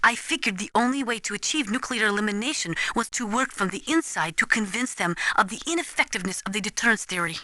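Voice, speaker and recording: A woman playing an earnest, resolute Ukrainian weapons analyst in a video game, speaking gravely and deliberately as if lecturing. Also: medium pitch, lively intonation, bright, forceful tone, dry recording. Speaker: military advisor briefing a soldier. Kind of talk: dramatic video game voice acting.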